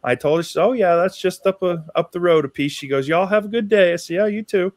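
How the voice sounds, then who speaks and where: A man's voice: high voice, quick pace, dry recording. A man from Maine telling stories in a casual livestream chat, easygoing and folksy. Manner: easygoing storyteller, folksy asides. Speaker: guest.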